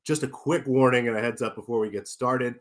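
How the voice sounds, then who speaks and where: A man's voice: medium pitch, steady tone, fairly quick. A man hosting a basketball betting show, sounding nasal as he gets over a cold, chatting casually with plenty of fillers. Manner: chatty, many fillers and restarts. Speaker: sports betting show host. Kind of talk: casual betting analysis video.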